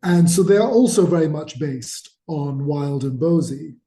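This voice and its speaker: A middle-aged man from Malaysia speaks as a guest author, his tone deeply mellow and his voice sitting a little high. He tells his stories with real enthusiasm. The room around him is echoey. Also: lively intonation, moderate pace. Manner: enthusiastic, storytelling. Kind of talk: conversational interview. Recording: roomy echo.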